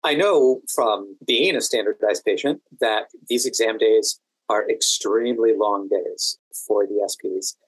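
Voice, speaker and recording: Man explaining in a measured way, dry sound. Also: fairly low pitch, no room sound, rough recording. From United States, Washington DC area. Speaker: podcast guest, standardized patient and exam event administrator. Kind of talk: interview answers, explanatory.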